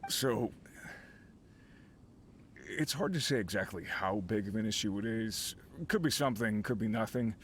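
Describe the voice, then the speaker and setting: An actor playing an easygoing but uneasy miner who leads a crew, speaking in an earnest, conversational, explanatory way as video game dialogue. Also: male voice, on the low side, moderate pace, pauses often, slight background noise, natural voice. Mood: uneasy, puzzled. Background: game background music.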